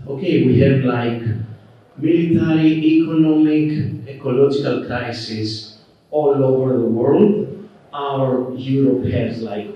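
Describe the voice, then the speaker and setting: Greek-accented man, slow keynote in echoing hall. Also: medium pitch. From Greece. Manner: relaxed and conversational, frequent "okay" and "uh" fillers. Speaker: keynote speaker. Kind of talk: conference keynote. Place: conference hall. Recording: roomy, distant, dull hall recording.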